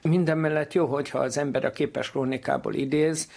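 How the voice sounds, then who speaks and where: A man's voice: on the high side, fairly even intonation, gravelly, darkish tone. A man, a history teacher explaining things through anecdotes in an interview.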